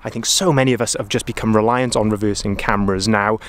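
A man's voice: lowish voice, steady tone, fast. Upbeat salesman walking around a van. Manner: upbeat, enthusiastic, frequent ums and uhs. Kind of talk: walkaround product review. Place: outdoor car lot beside a van. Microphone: camera-mounted mic, filming while walking.

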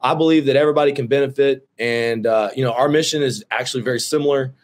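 A man of thirty-six in casual video-call chat from his office, brash and excitable, swearing freely and calling people dude and bro. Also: mid-range voice, fast talker. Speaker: roofing company owner and host. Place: office with shelves.

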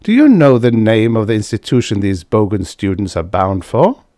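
A man voicing a calm, wry male diplomat with an understated, dry manner. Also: fairly low pitch, moderate pace, no echo, lightly acted.

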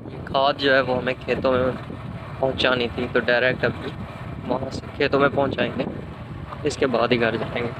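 A young man in his twenties talks casually to his phone camera while walking through open country, explaining each step in a plain, steady voice that hardly rises or falls. His outdoor phone recording carries clear background noise. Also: mid-range voice, normal speed, dull audio. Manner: casual and explanatory, mixes English words into his talk. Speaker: vlogger. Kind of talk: casual walking vlog narration. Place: outdoors among sugarcane fields and dirt paths. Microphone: phone camera held at arm's length.

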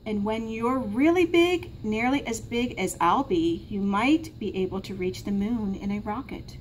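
A woman's voice: medium pitch, expressive, measured pace, lightly acted. A knowing older bunny sibling from a storybook, read by a woman, patient and matter-of-fact. Everything gets explained plainly, with faint room noise around the voice. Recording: noisy classroom recording.

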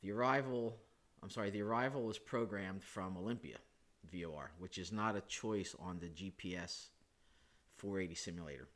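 Man, low deep voice, hoarse with a cold, casual step-by-step tutorial, thinks aloud. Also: normal speed. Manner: relaxed, thinking aloud with frequent uh and self-corrections. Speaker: flight sim hobbyist host. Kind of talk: screen-recorded how-to walkthrough.

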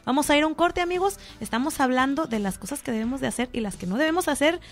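High-voiced chatty Mexican woman host. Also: animated, quick pace. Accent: Mexican Spanish. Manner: chatty, personal anecdotes, addresses audience as friends. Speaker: show host. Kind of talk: casual talk show chat.

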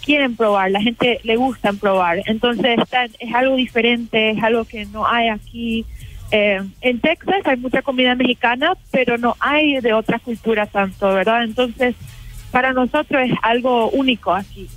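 A woman's voice: fairly high pitch, steady tone, normal speed, dry recording, faint music behind, poor audio. Young woman talking hesitantly by phone. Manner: hesitant, mixing in English words. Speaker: café owner, phone guest. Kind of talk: casual phone interview. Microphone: phone line.

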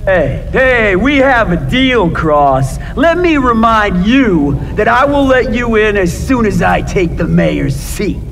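An actor playing a vain, cowardly scheming politician in a video game, whiny, stammering and self-important. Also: male voice, high voice, animated, takes it slowly, creaky, hardly any pauses, full character voice. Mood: indignant. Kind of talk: video game character acting. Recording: loud music behind.

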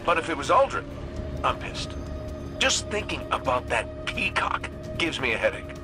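An actor playing a cocky, wisecracking robot gunslinger in a video game, with an American accent and a wry, folksy, sardonic way of talking. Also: male voice, medium pitch, very animated, moderate pace, music in the background, lightly acted. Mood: wry, needling. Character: cocky wisecracking male robot gunslinger, roguish hunter leader. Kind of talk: video game voice acting, recorded farewell messages.

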